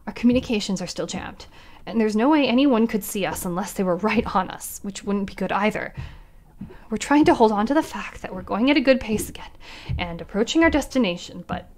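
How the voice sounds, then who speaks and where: A woman's voice: mid-range voice, expressive, a little fast, darkish tone, close to an everyday voice. A woman playing a terrified ship captain. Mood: weary. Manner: frightened, breaking into whispers and cries. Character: terrified female ship captain recording final logs. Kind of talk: audio drama acting.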